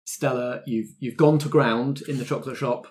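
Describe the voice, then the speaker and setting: A man's voice: mid-range voice, steady tone, moderate pace, darkish tone. British man hosting a tabletop game podcast. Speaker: game master and podcast host. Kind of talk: casual game narration and chat.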